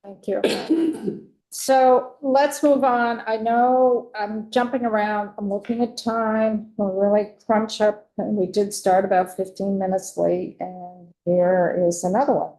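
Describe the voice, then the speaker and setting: A woman chairing a legislative committee hearing in a brisk, practical way. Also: medium pitch, fairly even intonation, mellow. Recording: echoey hearing room.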